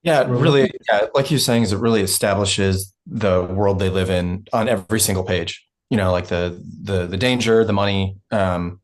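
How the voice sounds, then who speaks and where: Man, lowish voice, actor in an informal discussion, pauses often as he thinks. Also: fairly even intonation. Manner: halting, pausing between phrases. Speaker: actor at a table read. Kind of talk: casual group discussion.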